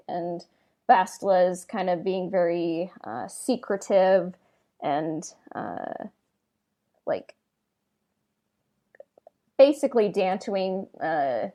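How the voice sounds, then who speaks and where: A woman with an average-pitched voice co-hosts a casual discussion podcast. She speaks informally and tentatively, piecing her thoughts together aloud in short fragments. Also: warm tone. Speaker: podcast co-host.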